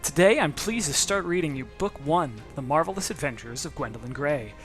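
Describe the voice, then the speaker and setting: Animated storybook narrator with music underneath. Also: mid-range voice, fairly quick. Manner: theatrical, direct address to listeners. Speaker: author reading own children's novel.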